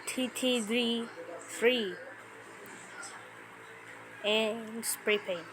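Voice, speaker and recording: A woman's voice: a little high, fairly even intonation, drawn-out words, a crowd in the background, low-quality recording. A woman giving casual, mocking reaction commentary in a scornful, slangy manner, repeating phrases for emphasis. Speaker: YouTuber making a reaction video. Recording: loud music behind the voice. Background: music.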